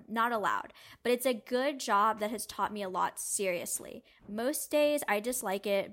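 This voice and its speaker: A woman's voice: medium pitch, fairly bright tone. A woman reading written interview answers aloud in a plain, candid, conversational way.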